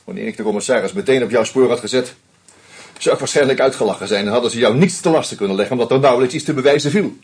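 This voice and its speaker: An actor playing a shrewd screenwriter who methodically unmasks a killer, with a husky voice and dry irony. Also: male voice, mid-range voice, racing, a little mellow. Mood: accusing. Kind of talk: radio play acting.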